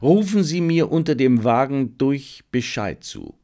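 Commanding male detective read by a man for audiobook, cool-headed, terse, mid-pitched, animated. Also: measured pace. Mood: cool, brisk. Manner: terse, urgent, hushed at times.

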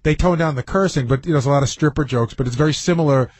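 Male radio staffer, quick, slightly high voice, casual anecdotes with quoted dialogue, dry studio. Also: husky, no echo. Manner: animated storyteller who acts out dialogue. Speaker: radio show producer.

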